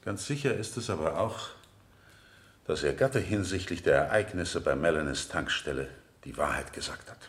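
An actor playing an insistent defense lawyer in a radio play, questioning witnesses in a deliberate, rhetorical way. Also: male voice, sits low, a bit bright. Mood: pressing, insistent. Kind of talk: 1970 German radio play acting.

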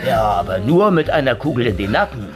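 A man's voice: medium pitch, sing-song, fairly quick, lightly acted. Man acting a menacing, sardonic interrogator over music. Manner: mocking, theatrical, taunting. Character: menacing sardonic male interrogator in handcuffs, mockingly polite. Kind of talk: audio drama acting. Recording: loud music under the voice.

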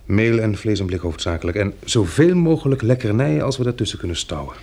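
Radio drama survivor: practical, resolute planner, gravelly and husky, thinks aloud with small hesitations. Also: male voice, on the low side, animated, fast, darkish tone. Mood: businesslike. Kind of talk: Dutch radio drama acting.

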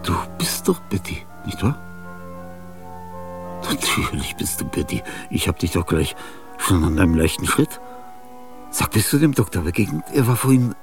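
An older man acting in a radio play, with a husky, gravelly voice and a halting, dreamlike monologue that slips into whispers. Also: low voice, big pitch swings, far from the mic, over music. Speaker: radio drama actor.

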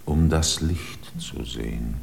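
An actor playing an ancient, brooding mountain spirit in a classic radio play, speaking in a grave, oracular way. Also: male voice, darkish tone, a little hiss or hum, full character voice. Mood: solemn. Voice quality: deep, gravelly, slow and solemn.

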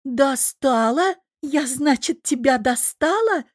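A woman's voice: high-pitched, sing-song, a little fast, a little mellow, no echo, clean studio sound, voice pushed up. A woman voicing a hot-headed, stubborn young woman who scolds shrilly and combatively in a story reading. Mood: wounded defiance.

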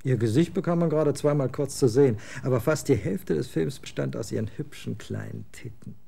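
A scornful young rocker son of twenty, blunt slang, gravelly dark voice; radio play. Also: male voice, mid-range voice. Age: 20s. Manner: slangy, scornful, quick to get excited. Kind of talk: German radio drama acting.

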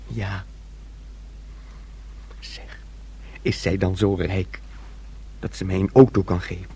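An actor playing a naive, simple nineteen-year-old son in a radio drama, speaking in a guileless, childlike, earnest way. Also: male voice, low voice, fairly even intonation, slow, creaky, dark tone, a little hiss or hum, heavily acted. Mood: tender, earnest.

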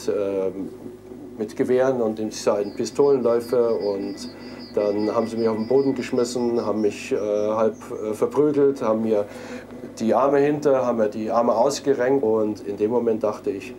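A man's voice: on the low side, fairly even intonation, moderate pace, noisy background. A man being interviewed, hesitant and full of fillers as he recounts events. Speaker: interviewee, prisoner. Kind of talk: recorded interview.

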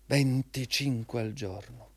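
An actor playing an anxious, servile employee in a radio drama, rambling and repeating himself obsequiously to please the boss. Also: male voice, mid-range voice, slow pace, a little mellow, slightly rough. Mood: nervous, rambling.

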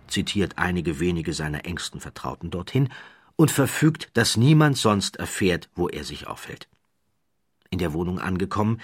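Low husky guy reading an audiobook, slow dramatic storytelling, deep voice. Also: no echo. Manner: measured, dramatic storytelling with rhythmic lists. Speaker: audiobook narrator. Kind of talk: scripted documentary narration.

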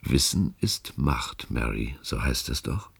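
An actor playing a calculating, grave older department chief in a German radio play, speaking with deliberate, persuasive rhetoric and a gravelly, husky voice. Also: male voice, extremely low pitch, animated, full character voice.

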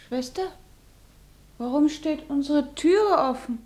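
Radio play casting: anxious, impulsive sister, high-pitched, emotionally intense, declaiming verse. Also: female voice, slow pace, a little mellow. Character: anxious, impulsive, devoted younger sister in wartime. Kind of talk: theatrical verse radio drama.

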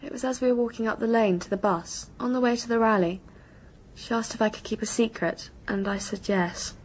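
Anxious English schoolgirl, high husky voice. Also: brisk, rough recording. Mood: worried, confiding. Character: anxious, earnest English schoolgirl confiding a secret. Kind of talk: radio drama acting.

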